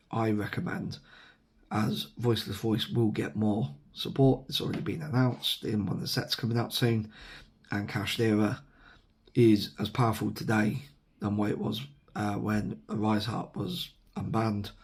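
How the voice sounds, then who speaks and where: Man, english accent, hoarse sore throat, gravelly, husky, rambling vlog talk, many ums. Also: on the low side, level tone, moderate pace. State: losing his voice, sore throat. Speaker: vlogger. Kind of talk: casual rambling to camera. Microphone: camera mic, seated at camera.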